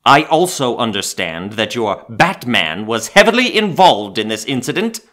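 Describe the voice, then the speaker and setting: A man's voice: medium pitch, big pitch swings, moderate pace, a bit bright. A man playing a pompous, combative big-city mayor in an audio drama, lecturing and domineering. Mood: scornful. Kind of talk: fan-made audio drama acting.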